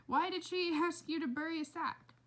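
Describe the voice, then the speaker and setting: A woman's voice: very high-pitched, steady tone, normal speed, voice pushed up. A woman reading the part of a suspicious young girl in a storybook, pressing her father with blunt, insistent questions.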